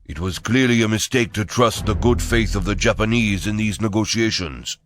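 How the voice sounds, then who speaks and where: A man's voice: on the low side, moderate pace, close to an everyday voice. A man playing a proud, formal military envoy in a video game cutscene, speaking in a stiff, pompous manner. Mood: stern pledge.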